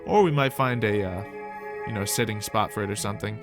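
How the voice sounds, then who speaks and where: A man's voice: on the low side, brisk, over music. A man giving casual, improvised roleplay game commentary, chatting and thinking aloud as host of a gaming video.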